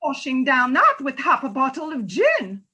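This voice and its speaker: A woman's voice: high-pitched, huge pitch swings, normal speed, sharp tone, full character voice. A woman playing an earnest, distressed unmarried mother, a nurse pleading her case in a comic stage farce reading. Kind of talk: comic farce readers theatre.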